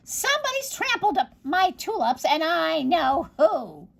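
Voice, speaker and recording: A woman voicing an angry little witch who chants spells in a shrill, spiteful way. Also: expressive, unhurried, fairly bright tone, exaggerated voice. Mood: vengeful.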